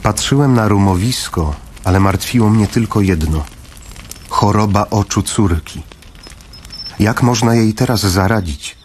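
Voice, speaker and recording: An actor playing a grave father who narrates in the first person in a radio play, measured and full of pauses. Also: lowish voice, slight background noise, natural voice. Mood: somber. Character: somber father narrating his family's wartime flight.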